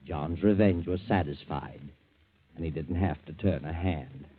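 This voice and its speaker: An actor playing a sardonic, gloating mystery storyteller, narrating knowingly in 1940s radio style. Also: male voice, low voice, a little slow, husky. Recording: tinny old radio recording. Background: faint music.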